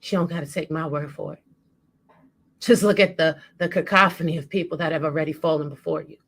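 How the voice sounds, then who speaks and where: A woman delivering an impassioned spoken-word monologue with dramatic, emphatic and deliberate phrasing. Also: low voice, steady tone, a bit bright.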